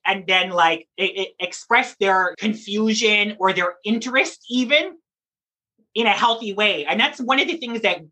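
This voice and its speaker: A drag performer giving conversational interview answers in an animated, explanatory, emphatic way. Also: medium pitch, slightly rough, poor audio.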